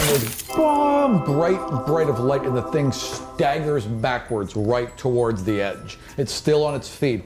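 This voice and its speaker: A man working as game master, narrating a fantasy tabletop game in a casual, improvised way with vivid sound words. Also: mid-range voice. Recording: music playing behind the voice. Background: background music.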